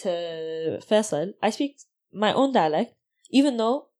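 A woman from Saudi Arabia talking in a casual conversation, explaining her views at length with soft, trailing asides. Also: mid-range voice, expressive, measured pace.

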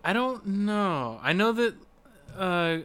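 A man co-hosts a gaming podcast in relaxed conversation. His voice sits a little high and swings widely in pitch, while his delivery is very unhurried. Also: smooth. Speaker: podcast co-host. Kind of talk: casual chat about a video game.

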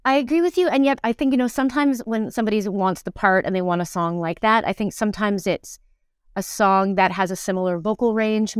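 A woman's voice: mid-range voice, smooth, no room sound, studio quality. A middle-aged woman, talking casually and reflectively as an interview guest, thinking aloud as she goes. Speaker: interview guest, musical theatre performer and teacher.

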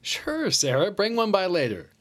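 Smug, animated male schoolteacher. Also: high voice, normal speed, full character voice. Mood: breezy, indulgent. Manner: casual, self-satisfied. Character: smug, easygoing male high school teacher. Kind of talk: naturalistic audio drama acting.

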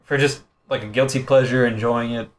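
A man's voice: medium pitch, fairly even intonation, fairly quick. A man on a podcast panel chatting casually, with a loose, joking, informal manner. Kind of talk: casual chat.

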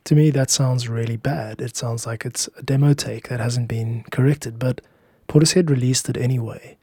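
A man hosting a podcast as a composer, narrating from a script in a measured, reflective way with deliberate phrasing. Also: medium pitch, steady tone, warm tone, breathy edge, dry recording, clean studio sound.

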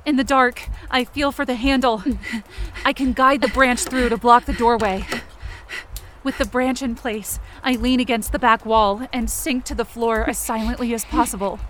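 A frightened, determined young woman, played by an actress narrates her ordeal in an audio fiction drama. Her voice is high and her delivery tense and urgent, told moment by moment. Clear noise runs behind the voice. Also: fairly even intonation, moderate pace, fairly bright tone, natural voice. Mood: tense, hushed.

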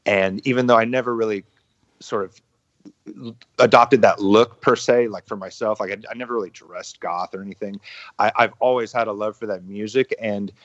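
A man with a somewhat low voice talks through a dull, lo-fi signal in a dry, close sound. He stumbles and restarts often, swears easily and chuckles between thoughts. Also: fairly even intonation, no room sound. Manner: halting, repeats words, chuckles, swears freely. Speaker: podcast guest, musician. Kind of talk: casual interview talk. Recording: muffled lo-fi sound.

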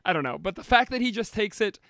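A man co-hosting a casual podcast, swearing and joking, with his voice going hoarse. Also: sits high, lively intonation, quick pace, a bit bright, dry recording, clean studio sound. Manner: swears often, jokey, laughs a lot. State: losing his voice. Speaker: podcast co-host.

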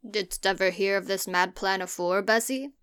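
A woman's voice: mid-range voice, fairly even intonation, fairly bright tone, clean tone, natural voice. A woman voicing a worried old farmer. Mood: earnest. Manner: slow, earnest, broad dialect.

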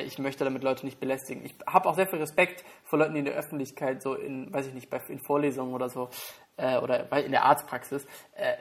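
A young man co-hosting a podcast with a stuffy cold, rambling self-consciously with many fillers. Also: medium pitch. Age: young adult. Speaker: podcast co-host. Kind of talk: casual chat.

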